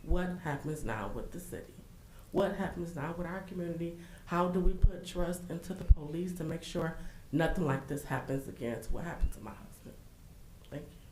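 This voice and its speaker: Hoarse woman speaking in an echoey chamber. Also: sits low, lively intonation, a little mellow, a little hiss or hum. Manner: earnest, halting with fillers. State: hoarse from illness. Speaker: public commenter. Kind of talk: public comment at a council meeting. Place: city council chamber.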